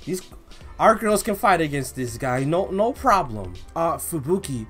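A young man doing casual, slangy reaction commentary and read-along, expressive and given to drawn-out exclamations. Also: on the high side, faint music behind. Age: young adult. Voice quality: slangy, informal. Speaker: YouTube reaction host. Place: small indoor room at home.

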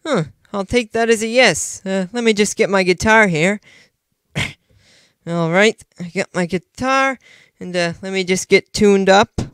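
Folksy old puppet gentleman, played by a man, bashful and hesitant, for children's puppet show. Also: high-pitched, measured pace, smooth, no echo.

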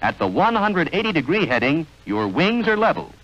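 A man reading scripted 1940s training film narration in a measured, instructional, clearly enunciated manner. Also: a little high, sing-song. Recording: dull, band-limited vintage film soundtrack.

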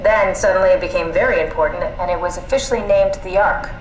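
A woman acting a brisk, matter-of-fact scientist living as a robot in a video game. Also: on the low side, reverberant, slight background noise, natural voice, low-quality recording. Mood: explanatory.

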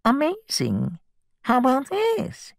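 A man's voice: very high-pitched, huge pitch swings, takes it slowly, vocal fry, no room sound, clean studio sound, voice pushed up, heavily acted. A man voicing a small, polite, apologetic and curious mouse in a storybook, meek and courteous. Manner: meek, courteous, soft-spoken.

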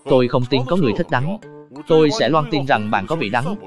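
A man's voice: medium pitch, animated, a little fast, over music, lightly acted. A man playing a spiteful, argumentative talking winter melon in a cartoon dub.

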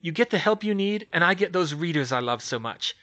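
Dude doing a smug middle-aged lady reporter, pushy, bright and bouncy. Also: fairly high pitch, sing-song, fairly quick, voice pushed up. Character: smug, scheming middle-aged woman gossip journalist.

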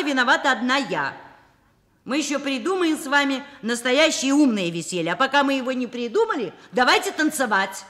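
A woman's voice: high voice, sharp tone. An actress playing a kind, dignified middle-aged woman professor in a Soviet radio play, speaking expressively and articulately. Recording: music and crowd noise behind. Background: music and crowd.

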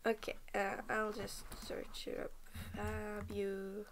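A woman's voice: medium pitch, completely flat delivery, slow, a little hiss or hum. A young woman from Norway, just woken up and tired, rambling through casual game commentary at her computer, often half-whispering and stopping mid-sentence. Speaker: game vlogger.

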